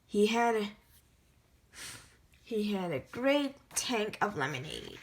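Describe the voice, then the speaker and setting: A woman reads a children's picture book aloud at an unhurried, deliberate pace. Her voice sits a little high and sounds bright and crisp, and her reading is careful and halting, with frequent restarts and self-corrections. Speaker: storybook reader.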